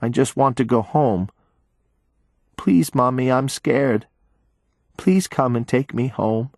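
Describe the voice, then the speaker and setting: A scared little child pleads softly and plaintively, as a man raises his voice well above its usual register to put it on. The storybook reading is close and dry, with no room sound around it. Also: medium pitch, slow pace, no room sound, pitched above the performer's own voice. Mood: scared pleading. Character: frightened small child held captive. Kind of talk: audiobook narration.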